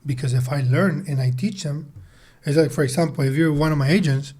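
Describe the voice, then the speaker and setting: A man's voice: a little high, brisk, warm tone, breathy edge. A man in his thirties answering conversationally as an interview guest, emphatic and repeating words for stress. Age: 30s. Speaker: podcast guest, sales team leader and entrepreneur.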